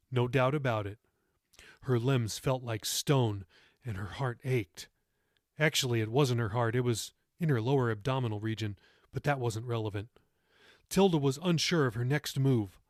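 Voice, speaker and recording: A man's voice: medium pitch, no echo, studio quality. A man reading his own novel aloud as audiobook narration, with dramatic storytelling delivery.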